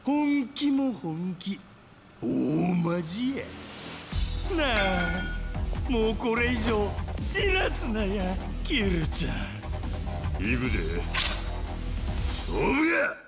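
A manic yakuza boss with a Kansai accent, swinging wildly across a high range. He drawls slowly through gleeful taunts and erupts when hungry for a fight, in theatrical game style over loud music. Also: huge pitch swings, heavily acted. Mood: manic eagerness. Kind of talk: theatrical video game acting.

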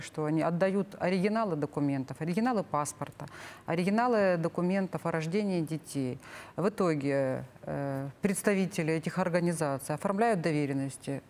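A woman's voice: on the low side, darkish tone. A middle-aged woman explaining things as an expert guest in a studio interview, searching for words. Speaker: government official, expert guest. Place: TV studio, seated at a table. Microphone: lapel.

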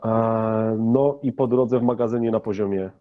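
A man's voice: on the low side, steady tone, moderate pace, narrow, tinny audio. A man acting as game master, explaining things to players in a tabletop game.

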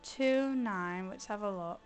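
A hoarse woman chatting casually as she streams a video game, thinking aloud and grumbling playfully. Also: medium pitch, takes it slowly, faint music behind. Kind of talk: casual let's-play commentary.

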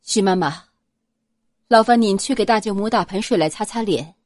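A woman voicing a composed, shrewd twelve-year-old noble girl who gives low, deliberate counsel in an audiobook. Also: no echo, clean studio sound, lightly acted. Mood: polite.

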